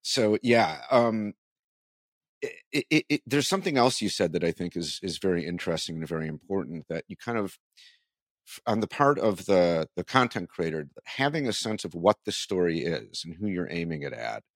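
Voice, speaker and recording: A man with a deep voice talks as an interview host in a dry, echo-free recording. He is conversational and thoughtful, restarting words now and then as he works out his point. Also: animated, normal speed. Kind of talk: conversational interview about writing and marketing.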